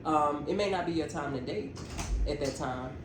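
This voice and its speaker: A woman, earnestly explaining her view in casual podcast talk. The voice rings in an echoey room, with clear noise behind it. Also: deep voice, normal speed. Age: 30s. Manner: earnest, reasoning through her point. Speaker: podcast co-host.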